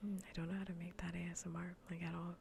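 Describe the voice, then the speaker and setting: A woman speaks in a soft, breathy whisper with a lowish pitch and some crackly fry. She chats casually and bluntly as a gaming streamer, teasing in an ASMR style. The mic is close, with faint noise behind her. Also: little pitch movement, fast talker. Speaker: livestreamer playing a game. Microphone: close ASMR microphone.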